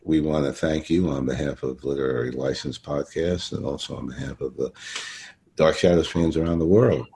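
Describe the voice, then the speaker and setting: A man hosting a podcast interview, speaking informally and haltingly to his guest. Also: rumbling low, mellow, husky. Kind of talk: informal interview with hesitant, repetitive sign-offs.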